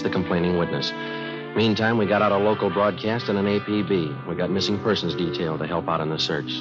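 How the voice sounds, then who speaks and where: An American actor playing a terse, dogged police detective in a 1950s radio crime drama, clipped, deadpan and matter-of-fact. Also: male voice, deep voice, close to an everyday voice. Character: terse, dogged male police detective narrating his case. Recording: muffled old radio recording with music.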